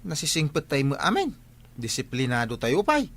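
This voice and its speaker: An actor playing a blustering, stammering comic village captain in a radio comedy, with an Ilocano Filipino accent. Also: male voice, on the high side, huge pitch swings, moderate pace, fairly bright tone, no echo, heavily acted. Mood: bluffing, curious. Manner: stammering, exclaiming, rambling.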